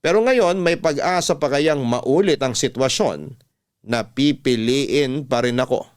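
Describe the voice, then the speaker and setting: A Filipino man narrates an emotional first-person story like a classic radio host. His mid-pitched voice is smooth and clean, with a measured, confessional delivery.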